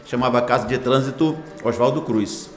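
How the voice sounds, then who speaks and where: A man's voice: medium pitch, moderate pace, echoey room, faint music behind. A man in his sixties, a hospital foundation director, answering interview questions in a formal, ceremonious way with long lists of phrases. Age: 60s.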